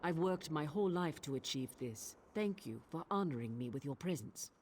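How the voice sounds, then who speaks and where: An actress playing a proud, earnest Nord storyteller in a fantasy game, speaking in a solemn, declamatory way. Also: female voice, deep voice, lively intonation, normal speed, background noise. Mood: earnest, honored. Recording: game music loud behind the voice.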